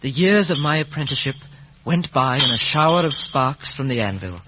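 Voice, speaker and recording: A man playing an earnest young man in a 1950s radio drama, literary and measured, with a husky voice. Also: mid-range voice, animated, background noise, lightly acted. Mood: reflective. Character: earnest young man narrating his own boyhood. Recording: muffled vintage radio recording.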